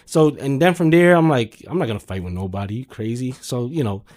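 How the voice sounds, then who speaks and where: A man's voice: medium pitch, a little fast, darkish tone. A man talking casually as a podcast guest, in an emphatic, slangy, free-swearing way full of verbal tags. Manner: slangy, emphatic, swears freely, peppers talk with "man" and "you know". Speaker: podcast guest, car sales manager. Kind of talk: casual conversational storytelling.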